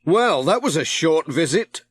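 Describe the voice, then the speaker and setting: A mild, good-natured postman voiced by a male reader who frets plaintively in a children's audiobook. The voice sits a little high and swings widely in pitch, recorded very dry. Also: big pitch swings, unhurried, breathy edge, no room sound, exaggerated voice. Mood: mildly surprised. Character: Pat, mild, worried postman, wary of water.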